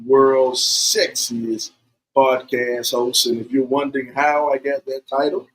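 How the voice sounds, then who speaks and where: A man's voice: mid-range voice, little pitch movement, fairly bright tone, rough recording. Playful man hosting a podcast. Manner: playful, teasing, drawn-out storytelling. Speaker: podcast host. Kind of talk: casual joking banter.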